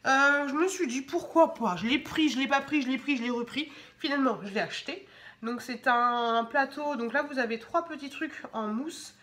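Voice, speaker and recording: A woman's voice: high voice, normal speed, fairly bright tone. A young woman with a cold, chatting casually at home as she shows off her shopping haul, with lots of fillers and restarts. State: has a cold, stuffy. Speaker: vlogger showing shopping haul. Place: home indoors.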